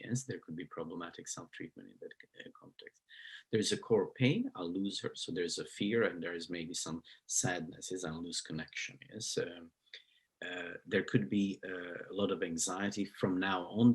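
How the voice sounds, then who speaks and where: Middle-aged Slovak-accented man, deep husky voice, hesitant professor lecturing. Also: fairly bright tone. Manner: hesitant, many fillers and restarts. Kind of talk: recorded lecture.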